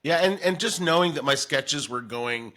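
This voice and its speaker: A man's voice: fairly high pitch, animated, moderate pace, sharp tone. A middle-aged man talking casually and enthusiastically as a guest in an interview, reminiscing in a chatty way. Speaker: radio morning show comedian, guest.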